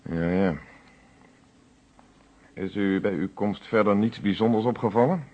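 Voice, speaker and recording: An actor playing a methodical police inspector in a radio drama, questioning formally and with authority in a husky voice, on an old recording. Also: male voice, on the low side, a little slow, dull audio. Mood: probing.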